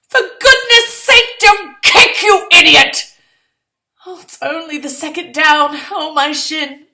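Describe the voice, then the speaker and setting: A woman's voice: extremely high pitch, takes it slowly, heavy background noise, pitched above the performer's own voice, full character voice. A woman reading a short story in the first person as a sardonic, exasperated young woman of the 1920s, with sarcastic, melodramatic delivery. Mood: pained, indignant.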